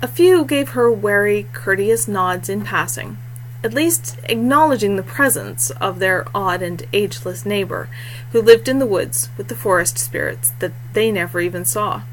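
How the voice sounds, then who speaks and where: A woman in her thirties reads her fiction aloud in an expressive storytelling style. Her voice sits at a medium pitch. It is a homemade recording in a small room, with faint noise underneath. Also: moderate pace. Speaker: author reading own fiction. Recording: noisy home webcam recording with hum.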